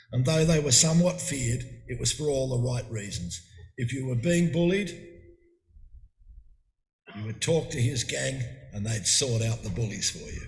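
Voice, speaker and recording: A middle-aged man delivers a eulogy in a plain, conversational way, stopping for fillers and restarts as he goes. His voice rings through a large hall with a long, roomy reverb. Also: medium pitch. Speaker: eulogy speaker. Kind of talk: funeral eulogy. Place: memorial hall.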